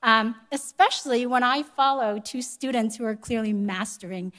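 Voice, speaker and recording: A woman delivering a prepared speech at a conference in a measured, polite and formal manner. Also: a little high, fairly bright tone. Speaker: conference speaker, education organization executive. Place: live event room before an audience.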